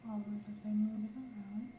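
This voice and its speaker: A woman doing an ASMR role-play as a medical examiner, giving slow, gentle instructions that sometimes drop to a whisper. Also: medium pitch, level tone, reverberant, lo-fi sound, a little hiss or hum. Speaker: ASMR creator playing an examiner.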